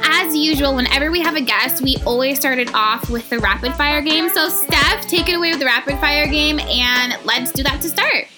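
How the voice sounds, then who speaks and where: A woman's voice: sits high, normal speed, few pauses. A woman from Trinidad and Tobago with a Trinidadian accent, hosting a podcast in bubbly casual chat and laughing often. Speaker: podcast host. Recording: music bed behind voice.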